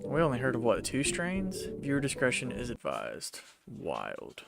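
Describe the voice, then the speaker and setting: A man's voice: mid-range voice, huge pitch swings, unhurried, vocal fry, over loud music. A man giving casual, wry and teasing commentary as he reacts.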